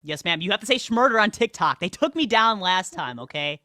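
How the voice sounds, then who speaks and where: A man hosting a livestream, firing pointed questions at a caller. He talks fast and pushes hard on every answer. The sound is close and dry, with no room around it. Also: sits high, lively intonation, bright, forceful tone, no echo. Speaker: livestream host. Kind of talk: debate with a caller.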